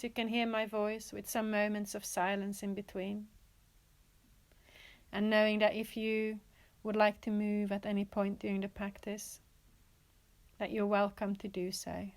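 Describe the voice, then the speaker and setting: A woman teaching yoga nidra, guiding a relaxation in a gentle, soothing manner with pauses and repeated, inviting phrases. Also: mid-range voice. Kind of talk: guided relaxation meditation.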